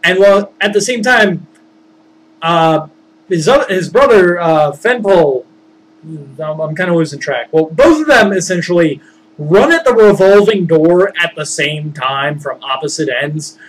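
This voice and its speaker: A man casually recounting his tabletop games as game master, rambling and informal, animated when he acts out what people said. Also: on the high side, measured pace. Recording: noisy background.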